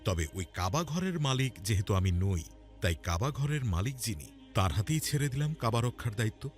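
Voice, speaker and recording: A man's voice: mid-range voice, sing-song, moderate pace, soft background music, close to an everyday voice. A man voicing a resolute, devout elderly chief in a cartoon story, with solemn, declamatory delivery. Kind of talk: acted quote in cartoon storytelling.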